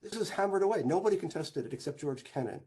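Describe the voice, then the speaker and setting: A man's voice: on the high side, expressive, brisk, crowd noise. A man speaking as an academic guest in an interview, explaining things in detail. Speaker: history professor, interview guest.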